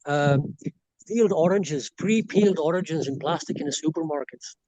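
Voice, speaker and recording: Guest: Belgian-born man, Irish-tinged accent, on the high side, dry sound, rambles with fillers. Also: normal speed, a little mellow, no echo, muffled recording. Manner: rambling, frequent fillers and restarts. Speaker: expat guest. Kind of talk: casual interview chat. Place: rural property in Bolivia.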